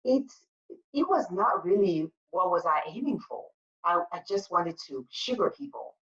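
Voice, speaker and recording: A woman's voice: lowish voice, lively intonation, measured pace, fairly bright tone, recorded from a distance, highs cut off. A woman from Zimbabwe, a spa owner, answering interview questions in an earnest storytelling way with frequent fillers. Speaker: guest, spa owner and sugar paste maker.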